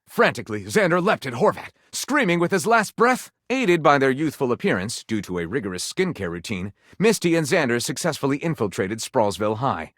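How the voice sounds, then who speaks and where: A man's voice: medium pitch, fairly bright tone, no echo, clean studio sound. A man playing a flamboyant, pompous narrator of pulpy detective romance in a game, delivering it theatrically and tongue-in-cheek. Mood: grandly dramatic.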